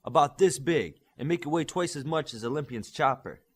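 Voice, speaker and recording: A hulking armored giant superhero voiced by a male narrator, blunt and demanding. The pitch sits raised above normal narration, in audiobook character style. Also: animated, fairly quick, husky.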